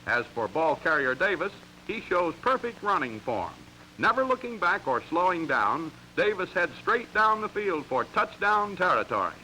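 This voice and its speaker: A man's voice: sits high, big pitch swings, moderate pace, bright, forceful tone, a little hiss or hum, rough recording. An American man reading scripted narration for a 1940s sports training film in an emphatic, instructional way, on a vintage soundtrack. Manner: crisp, emphatic, instructional delivery.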